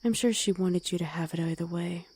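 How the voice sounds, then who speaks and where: Wolf girl played by a woman: guarded, commanding, dark mellow tone, husky edge, ASMR roleplay. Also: on the low side, brisk. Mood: gruffly caring. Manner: blunt, commanding, gruffly teasing. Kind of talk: ASMR roleplay audio drama.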